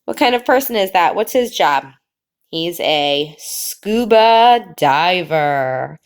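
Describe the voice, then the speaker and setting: Slow sing-song teacher reading aloud. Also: female voice, fairly low pitch, expressive, clear, resonant tone. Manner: slow, drawn-out reading with sing-song pauses before the last word; explains pictures in a friendly teacherly way. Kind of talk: children's read-aloud.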